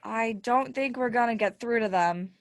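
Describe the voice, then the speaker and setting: A woman's voice: on the high side, moderate pace, dry recording, close to an everyday voice. Woman as a giggly teasing girl. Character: playful pun-loving girl Red. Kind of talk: amateur theatre acting.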